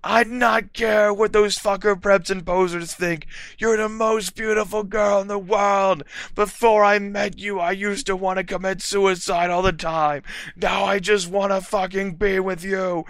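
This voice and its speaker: A man's voice: high voice, level tone, measured pace, a bit bright, no echo, pitched above the performer's own voice. A man voicing a lovesick, brooding teenage goth boy who makes overwrought declarations. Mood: gushing.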